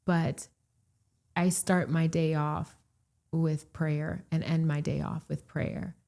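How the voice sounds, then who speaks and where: A woman from Puerto Rico, a guest in a casual interview, who talks in an animated, chatty way and laughs often. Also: low voice, takes it slowly, gravelly. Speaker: real estate broker, podcast guest.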